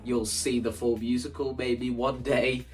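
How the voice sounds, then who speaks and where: A man's voice: medium pitch, little pitch movement, measured pace, darkish tone, soft background music. A man talking casually and enthusiastically through his own creative ideas in an explanatory vlog, emphatic and often repeating phrases for stress. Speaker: video creator presenting own stage play.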